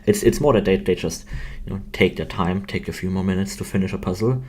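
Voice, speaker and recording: A young man with a low voice and an Austrian German accent talks off the cuff on a livestream. He rambles hesitantly, often breaking off and picking up again. Faint noise sits behind him at his computer desk. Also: quick pace, a little mellow. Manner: hesitant, self-interrupting, rambling. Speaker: game developer hosting a livestream. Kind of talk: casual rambling livestream chat.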